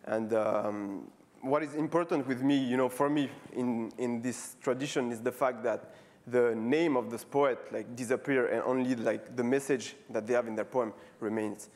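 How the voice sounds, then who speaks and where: Casting note: man, 30s, French accent, relaxed stage talk full of fillers and restarts. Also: medium pitch. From France. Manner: halting, many fillers and restarts; casual, friendly, repeating words. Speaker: street artist giving a talk. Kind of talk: conference talk. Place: stage before a live audience.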